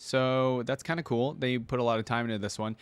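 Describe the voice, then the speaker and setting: A man's voice: mid-range voice, brisk, clean tone, faint music behind. A man hosting a reaction video, giving informal, chatty, easily amused commentary.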